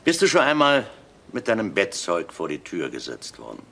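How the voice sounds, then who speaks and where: A low-voiced, weary police inspector. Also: male voice, wildly swooping pitch, gravelly, a bit bright, exaggerated voice. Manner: terse, measured, dry. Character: patient, weary middle-aged police inspector. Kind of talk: old German TV crime drama acting. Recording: noisy old film soundtrack.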